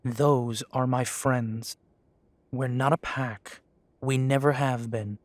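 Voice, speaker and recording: A defiant young werewolf, voiced by a man, sarcastic and overly polite to the elders. He speaks very slowly and deliberately. The recording is dry and close, made for an audio drama. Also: mid-range voice, fairly even intonation, no echo, close to an everyday voice. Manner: slow, dry, mocking politeness.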